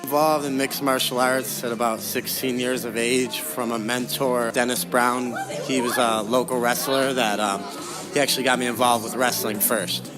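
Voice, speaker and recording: A man's voice: mid-range voice, steady tone, normal speed, over music. A young adult man answering interview questions in a casual, conversational way as a guest. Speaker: interview guest, professional fighter.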